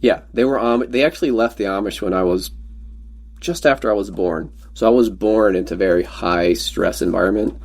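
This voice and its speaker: Joking man guest, low voice. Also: a little fast, vocal fry. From Pennsylvania, USA. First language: Pennsylvania Dutch. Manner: joking, rambling anecdotes with self-deprecating humor. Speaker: podcast guest. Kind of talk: casual conversational storytelling.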